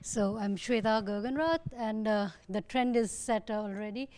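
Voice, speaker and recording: Clear-voiced Indian woman researcher. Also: mid-range voice, unhurried, a little mellow. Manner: thoughtful, searching for words. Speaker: muscle disease researcher. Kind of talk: panel talk on research.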